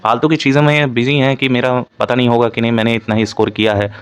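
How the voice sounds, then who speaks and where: A man's voice: mid-range voice, flat delivery, fast, clear, resonant tone. A man giving an informal analytical lecture as a coaching instructor, mixing in English terms and repeating points as he explains. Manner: Hindi mixed with frequent English terms.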